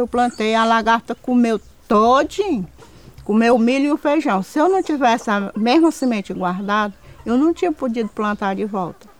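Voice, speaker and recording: Female farmer interviewee, rural Ceará accent, fairly high voice, rambling everyday storytelling. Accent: rural Ceará, Northeastern Brazilian. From rural Ceará, Brazil. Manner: rambling, colloquial. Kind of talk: casual anecdotal talk.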